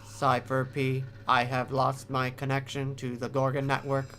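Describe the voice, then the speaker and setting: Someone voicing an obedient feline robot in a visual novel game. The delivery is low-pitched, stilted and monotone, formal and literal, with music playing behind it. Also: unhurried, lightly acted. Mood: flat, disoriented. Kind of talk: visual novel read-aloud, in character.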